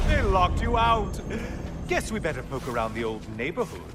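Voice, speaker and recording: A man's voice: sits high, huge pitch swings, slow pace, loud music behind the voice, heavily acted. A man playing a mocking, smug sun god in a video game, with a sneering, theatrical delivery.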